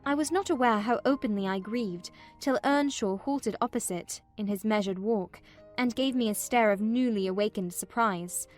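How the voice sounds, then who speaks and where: A woman voicing a despairing, proud and weary young gentlewoman who tells her story expressively in a dramatized novel reading. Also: medium pitch, normal speed, soft background music, lightly acted. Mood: forlorn. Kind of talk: dramatized audiobook narration of a classic novel, in first person.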